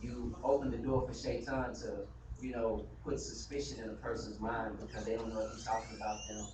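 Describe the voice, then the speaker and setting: A man's voice: lowish voice, level tone, brisk. Earnest man in an echoey mosque hall. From United States. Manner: earnest, conversational, frequent "you know". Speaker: community member speaking at a mosque gathering. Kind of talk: impassioned open discussion. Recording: echoey hall from far off, noisy live stream.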